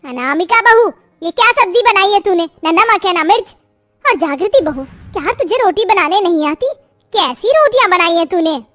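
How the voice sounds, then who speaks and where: A woman's voice: very high-pitched, expressive, moderate pace, a bit bright, no room sound, studio quality. A woman playing a stern, grumbling older mother-in-law in a moral-story cartoon, reproachful and scolding.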